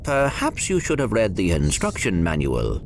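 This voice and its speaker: An actor playing a small, polite, earnest robot sidekick who speaks formally and precisely. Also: male voice, low-pitched, wildly swooping pitch, moderate pace, creaky, full character voice. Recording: noisy ambience behind.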